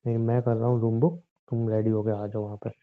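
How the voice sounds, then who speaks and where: A man's voice: on the low side, little pitch movement, quick pace. A young man bickering casually as a boyfriend on a phone call, exasperated, scolding and sarcastic. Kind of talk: casual couple's phone chat, playful bickering. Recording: narrow, tinny phone-line sound.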